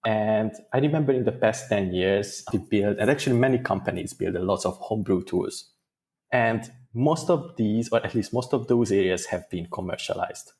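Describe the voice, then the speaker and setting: A man gives interview answers in a fairly low voice. He speaks in a thoughtful, measured way and sometimes restarts a phrase while he finds his words. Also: a little mellow. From Hungary. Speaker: guest data engineer.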